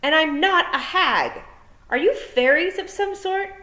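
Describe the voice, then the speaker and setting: A woman voicing a stubborn young farm girl who speaks out loud and indignant. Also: high voice, animated, measured pace, echoey room, voice pushed up, heavily acted.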